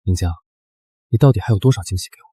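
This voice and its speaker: A man's voice: lowish voice, racing, warm tone, husky, no room sound. A man playing a doting, teasing, protective young suitor in a short drama. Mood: teasing, tender.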